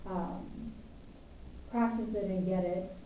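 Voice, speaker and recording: A woman co-hosting a casual weekly work meeting, chatty and quick to laugh, often trailing off mid-sentence. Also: mid-range voice, steady tone, warm tone, recorded from a distance, a little hiss or hum. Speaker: co-host of a weekly progress meeting. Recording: echoey dull room sound from off mic.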